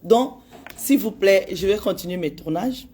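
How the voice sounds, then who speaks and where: A woman's voice: mid-range voice, expressive, a little slow, warm tone, slight background noise. A woman in her forties speaking straight to her followers, pleading with passion and repeating her points for emphasis. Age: 40s. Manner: impassioned and pleading, repeats phrases. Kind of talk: direct address to followers on social media.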